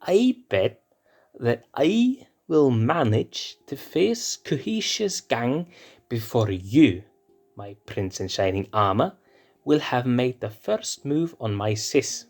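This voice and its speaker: A man's voice: medium pitch, very animated, slow pace, darkish tone, pitched above the performer's own voice, heavily acted. A man voicing a sly, teasing young male lion cub.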